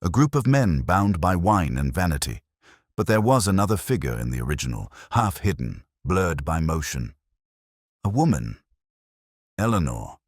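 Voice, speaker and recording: A man playing a weary, brooding middle-aged police inspector, narrating a scripted detective story in a measured, reflective, literary manner. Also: deep voice, very animated, no echo, clean studio sound. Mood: somber, reflective.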